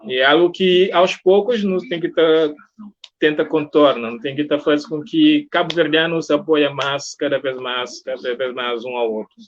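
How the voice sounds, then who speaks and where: A man's voice: fairly high pitch, fairly even intonation, moderate pace. A man with a Cape Verdean accent conducting a casual interview in an encouraging, conversational way. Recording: dull lo-fi audio.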